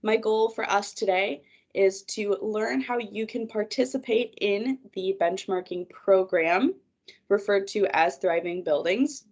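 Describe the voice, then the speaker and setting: A woman's voice: medium pitch, measured pace, darkish tone. A woman, a project manager presenting a webinar over a video call, congested from a cold, guiding listeners through her slides in an organized way.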